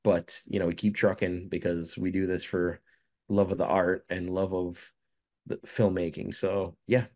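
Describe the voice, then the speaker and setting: Casting: very low-voiced young man from jamaica, rambling interview guest. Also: little pitch movement, a little slow, a little mellow. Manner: rambling, many fillers and restarts. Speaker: filmmaker guest. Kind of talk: casual interview answers.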